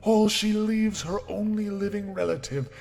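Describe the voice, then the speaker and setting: A man voicing a possessed old woman whose voice sounds unnaturally deep, echoing and whispery. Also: moderate pace, creaky, a little mellow, faint music behind. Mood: menacing. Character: possessed old woman, menacing, hissing, otherworldly.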